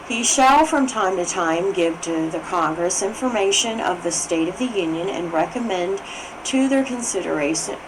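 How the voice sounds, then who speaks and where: Female amateur reader, fairly low pitch, formal careful document read, noticeably noisy room recording. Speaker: reader, state assembly coordinator. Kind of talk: formal read-aloud of legal text and commentary.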